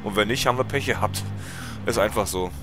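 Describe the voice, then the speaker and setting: A man's voice: on the low side, a little fast, vocal fry, over music. A German man with a slight cold and a stuffy nose, chatting casually and rambling with fillers while he commentates his gameplay. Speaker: let's play gamer.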